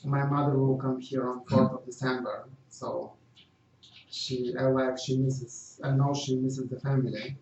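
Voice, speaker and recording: A man's voice: medium pitch, level tone, unhurried, darkish tone, recorded from a distance. Bulgarian man speaking in an echoey room. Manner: earnest, repeats phrases with small slips of grammar. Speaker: guest speaker. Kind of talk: prepared community talk and Q&A. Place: meeting room. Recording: dull, echoey live stream from afar.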